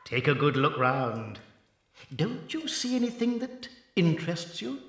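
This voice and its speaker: A man voicing a confident, charming father fox in a dramatized children's audiobook, husky, theatrical and persuasive. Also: a little high, big pitch swings, unhurried, fairly bright tone, full character voice. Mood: conspiratorial.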